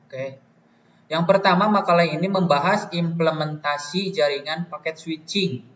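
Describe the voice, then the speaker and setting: A young man giving a student presentation from prepared notes in a halting manner. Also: fairly high pitch, a bit bright. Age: young adult. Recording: dull, distant, roomy, faint noise.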